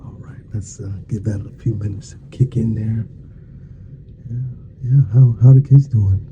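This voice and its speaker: A man speaking softly, at times nearly whispering, in an ASMR roleplay as a dentist, with folksy, reassuring small talk in short murmured phrases. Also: lowish voice, steady tone, moderate pace, phone-quality sound, noisy background. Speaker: ASMR performer playing a dentist. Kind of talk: ASMR roleplay, soft-spoken to half-whispered.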